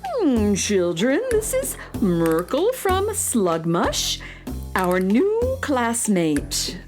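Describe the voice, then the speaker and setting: A woman playing a kindly, patient schoolteacher who gently instructs children in a space radio drama. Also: fairly high pitch, wildly swooping pitch, extremely slow, faint music behind, exaggerated voice. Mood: kindly, instructive. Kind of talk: children's audio drama acting.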